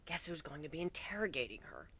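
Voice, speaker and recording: A man voicing a sly, playful female changeling pony in a hushed murmur, in a dry dramatic fan fiction reading. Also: fairly high pitch, very animated, fast, gravelly, husky, no echo, voice pushed up, full character voice.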